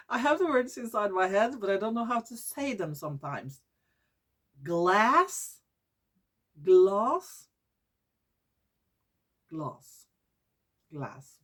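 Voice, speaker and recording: A woman from Norway with a Norwegian accent, hosting her own vlog in English, which is not her first language, often pausing to search for words and restarting her sentences. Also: medium pitch, very animated. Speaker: YouTube channel host. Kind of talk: casual vlog monologue.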